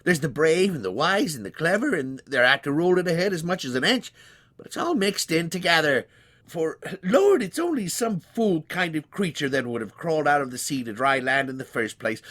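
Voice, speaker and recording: A man's voice: a little high, sharp tone, pitched above the performer's own voice. A man voicing a plain-spoken, stubborn man in his nineties from a storybook, with a rambling, exclaiming, folksy manner. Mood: impatient. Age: 90s. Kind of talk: dramatized storybook reading.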